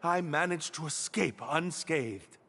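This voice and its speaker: An actor playing an earnest, hesitant, polite young man in an anime game, speaking haltingly. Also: on the high side, pushed and bright, in a large hall, a little hiss or hum, full character voice. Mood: nervous, earnest.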